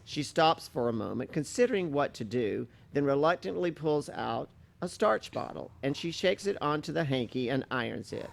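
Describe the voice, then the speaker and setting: A man's voice: a little high, animated, normal speed, gravelly. A man reading stage directions as scripted narration for a play.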